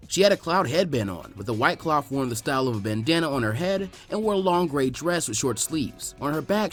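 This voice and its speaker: A man's voice: on the high side, a bit bright. Man narrating fan fiction over music. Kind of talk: scripted story narration. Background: faint music.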